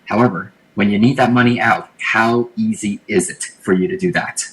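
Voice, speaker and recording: Male podcast guest from Taiwan, lower voice, thoughtful, explanatory. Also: moderate pace, rough recording. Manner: thoughtful, explanatory, repeats words while thinking. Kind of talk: casual interview talk.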